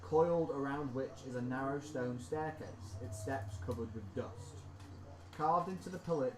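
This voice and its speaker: A man narrating as game master in an echoey room with steady background noise. He reads scene descriptions in a measured way and teases the players between them, at a middle pitch. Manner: reads scene descriptions slowly, teasing asides.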